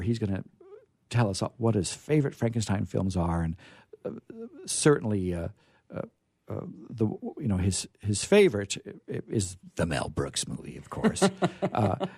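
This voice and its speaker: A man hosting a radio theatre show, chatting casually in a halting, rambling, hesitant way. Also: lowish voice, a little mellow, husky, no room sound, clean studio sound.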